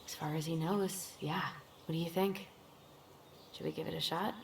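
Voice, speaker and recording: A woman's voice: low voice, steady tone, normal speed. A woman playing a caring, earnest young twin sister in a video game, with an American accent and naturalistic delivery. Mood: earnest, serious. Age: young adult. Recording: game audio with clear background noise.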